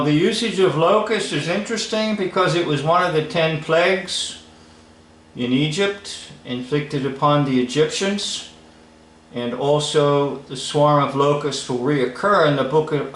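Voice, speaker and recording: A man giving casual, unscripted commentary in a halting, musing manner. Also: fairly high pitch, fairly bright tone. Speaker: video commentator. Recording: echoey room.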